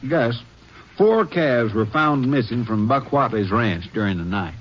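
A man's voice: medium pitch, animated, unhurried, close to an everyday voice. A man playing an easygoing small-town Texas sheriff with an American accent and neighborly, folksy manner in a 1950s radio drama. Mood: neighborly, reluctant. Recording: noisy, muffled old 1950s radio recording.

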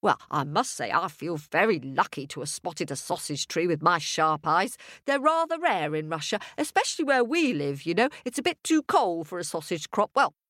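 A woman voicing an excitable, chatty, boastful farmer's wife in a folk tale, gushing and rambling. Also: fairly low pitch, moderate pace, few pauses, no echo, studio quality. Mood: proud, gushing. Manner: gushing, breathless exclamations, rambling. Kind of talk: storybook character voice.

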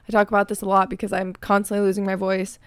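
A young woman in her twenties hosting her own podcast in a casual, chatty way, her voice raspy because she is losing it. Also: mid-range voice, completely flat delivery, quick pace, no room sound. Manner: chatty, filler-heavy, frank. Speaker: podcast host. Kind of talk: casual solo podcast chat.

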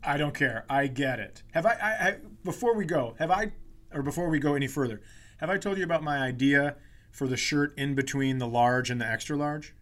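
A man's voice: a little high, brisk, a bit bright. A man co-hosting a comedy podcast, telling self-deprecating stories in casual, joking banter. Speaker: podcast co-host.

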